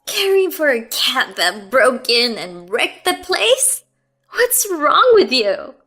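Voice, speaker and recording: A woman voicing a haughty, contemptuous mother-in-law who scolds in a high, loud voice. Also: very animated, slow, faint music behind, exaggerated voice. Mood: shrill scorn.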